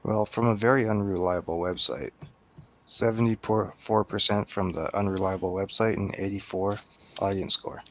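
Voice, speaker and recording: A man speaks as a guest in a casual panel chat. His voice sits a little on the low side, and his delivery is plain and conversational. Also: fairly even intonation, moderate pace.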